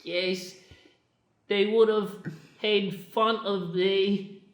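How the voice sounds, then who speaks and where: A woman playing a plaintive cyborg child in an improvised comic radio play, halting and overdramatic. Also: medium pitch, fairly even intonation. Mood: wistful. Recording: roomy echo.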